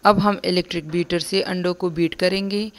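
A young woman host giving a calm, step-by-step recipe voice-over for her home cooking channel. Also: fairly low pitch, moderate pace. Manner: calm, instructive, repeats steps plainly.

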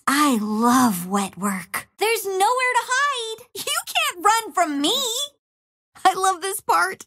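A woman's voice: high voice, a bit bright, full character voice. An actress playing a cunning young female assassin in a game, taunting her foes with playful menace and relishing every kill. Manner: gleeful, teasing, relishes killing. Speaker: video game character. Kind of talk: game voice lines. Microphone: studio mic.

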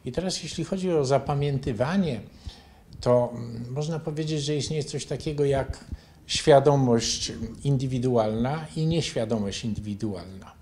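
An older man from Poland answering interview questions in a measured, didactic, explanatory way. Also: medium pitch, dark tone. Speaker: interview guest, bioenergy therapy teacher and author. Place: furnished living room, indoors.

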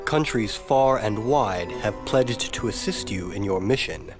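Slow, deep, regal king. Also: lively intonation. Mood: stately. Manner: regal, formal, measured. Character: solemn, stately king granting audience, imperious and measured. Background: loud orchestral string music.

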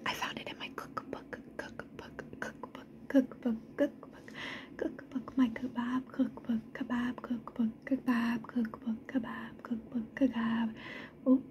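A woman whispers close and soft, with a mellow, dark tone that sits high in her register. She repeats single words over and over in a slow, gentle rhythm. Her manner is playful and soothing. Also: little pitch movement. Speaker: ASMR creator. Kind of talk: ASMR trigger words. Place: indoors at home.

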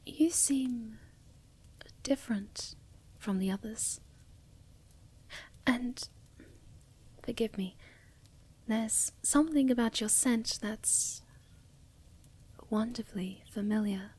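A young woman playing a sweet, ditzy, shy moth girl in an ASMR roleplay, speaking softly and breathily with gentle stammers, often near a whisper. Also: a little high, slow pace, pauses often, slightly rough. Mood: tender, drowsy. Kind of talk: soft-spoken ASMR roleplay.

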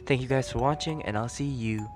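A man's voice: mid-range voice, fairly quick, over music. A man who hosts a voice acting channel, speaking a casual outro to his viewers. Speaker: channel host, amateur voice actor.

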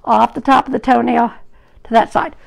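A hoarse woman groomer with a gravelly voice, chatting to live-stream viewers while trimming a dog in a grooming salon, recorded on a phone. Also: fairly high pitch, little pitch movement, normal speed. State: hoarse from an illness. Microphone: phone camera propped at the grooming table.

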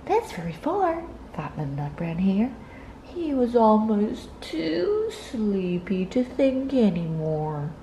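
A woman storyteller reading a children's picture book aloud in an expressive, deliberate way. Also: medium pitch, a little hiss or hum, rough recording.

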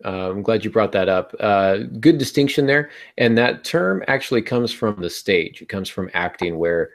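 A man's voice: fairly low pitch, lively intonation, normal speed. A middle-aged man hosting a panel podcast, explaining in a conversational way and posing rhetorical questions. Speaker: podcast host. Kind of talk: conversational writing-advice panel talk. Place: small room at home, indoors. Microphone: earbud headset on video call.

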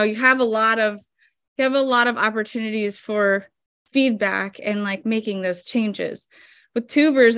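A woman's voice: mid-range voice, a bit bright, no echo. Chatty woman on a video call. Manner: chatty, explains step by step with many fillers. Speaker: flower farmer guest. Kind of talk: casual interview over video call. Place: home room indoors. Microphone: video call webcam.

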